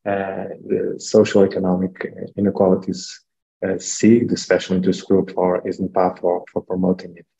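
A low, gravelly man talks in a flat, mellow voice, stumbling over repeated words. He is heard over a video call. The audio is dull and lo-fi. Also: moderate pace. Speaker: executive director. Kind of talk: casual meeting talk.

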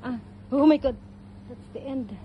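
Woman, middle-aged, very high voice, slow-paced casual vlog chat, noisy open-air phone recording. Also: highs cut off. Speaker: travel vlogger. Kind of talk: casual chat while filming a walking tour. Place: outdoors on piers and village walkways. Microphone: handheld phone at arm's length. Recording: clear outdoor ambient noise.

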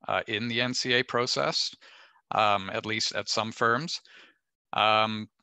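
A man's voice: on the low side, bright, forceful tone, clean tone, no echo. A man advising students in a panel discussion on a video call, explaining at length with many fillers.

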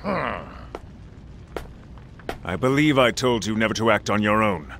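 A man's voice: lowish voice, lively intonation, measured pace, creaky, a bit bright, a little hiss or hum, heavily acted. A man playing a sinister, arrogant male demon villain in an anime game dub, haughty and menacing. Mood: cold, menacing.

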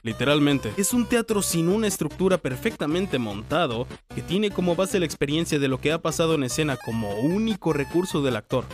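Male comedy host, Mexican accent, slightly high voice, rambling and self-mocking, music underneath. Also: animated, fairly quick, few pauses. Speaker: video creator and host. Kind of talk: comedic explainer narration. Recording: music behind the voice. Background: background music.

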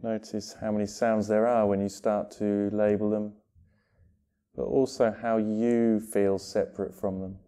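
A man leading a guided meditation with gentle instruction, speaking in unhurried phrases separated by long pauses. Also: fairly low pitch, level tone, smooth.

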